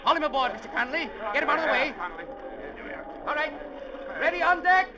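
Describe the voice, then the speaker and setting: An actor playing a gruff, domineering sea captain in his seventies, barking curt, impatient orders in 1940s radio drama style. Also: very high-pitched, fairly quick. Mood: harsh, urgent. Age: 70s. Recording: old radio recording, dull, roaring storm noise.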